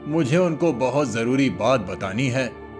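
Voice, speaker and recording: A man's voice: medium pitch, expressive, measured pace, over music, lightly acted. A man voicing an honest village cowherd in a cartoon folk tale, speaking politely and earnestly.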